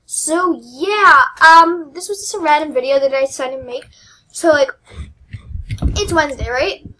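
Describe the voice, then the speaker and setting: Young woman, squeaky and high, rambling and giggly, self-mocking game channel host. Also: moderate pace, sharp tone, poor audio. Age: teens. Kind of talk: casual gameplay commentary.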